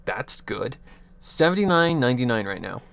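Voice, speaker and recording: A man's voice: mid-range voice, big pitch swings, normal speed, creaky, fairly bright tone, clear, resonant tone. A young man casually chatting on a livestream, giving rambling advice with restarts, chuckles and offhand swearing into a USB desk mic. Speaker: streamer. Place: home computer desk.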